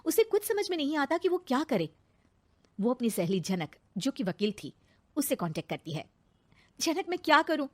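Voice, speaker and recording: A woman with a fairly high voice narrates a moral tale. Her delivery is scripted, dramatic storytelling. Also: big pitch swings, moderate pace, darkish tone.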